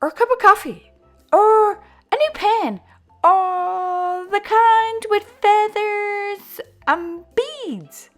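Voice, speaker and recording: A woman voicing eager, excitable young schoolchildren from a storybook, in an exclaiming, emphatic manner. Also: very high voice, drawn-out words, faint music behind, pitched above the performer's own voice, exaggerated voice.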